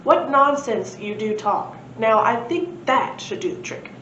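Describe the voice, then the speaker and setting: A woman voicing a brisk, slightly pompous male stegosaurus professor in a storybook read-aloud, recorded in a roomy space. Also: on the high side, expressive, a bit bright. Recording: roomy, dull lo-fi, faint noise.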